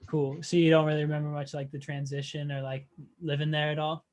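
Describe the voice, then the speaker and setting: A man's voice: on the high side, level tone, quick pace, a little mellow. Easygoing young male interview host. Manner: easygoing, filler-heavy. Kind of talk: casual interview chat. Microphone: video call.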